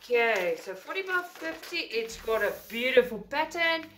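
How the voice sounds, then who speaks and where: A woman's voice: on the high side, very animated, normal speed, sharp tone, a little hiss or hum. A woman with a South African accent, a native Afrikaans speaker, chatting enthusiastically as she unboxes a craft kit at a table indoors. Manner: chatty, enthusiastic, rambling with self-corrections. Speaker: craft channel host. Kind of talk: casual unboxing talk to camera. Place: indoors at a table, filming overhead.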